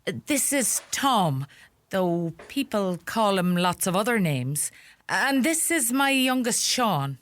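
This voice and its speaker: An actress playing a bossy, sharp-tongued rural mother with a Donegal Irish accent in a radio play. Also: medium pitch, slow pace, dry recording. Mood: welcoming. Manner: scolding, animated, gossipy.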